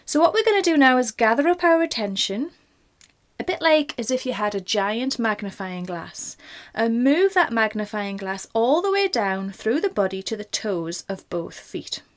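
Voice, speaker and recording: A woman leading a guided meditation in a gentle, informal, reassuring manner. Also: on the high side, very animated, moderate pace. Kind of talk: guided body scan meditation.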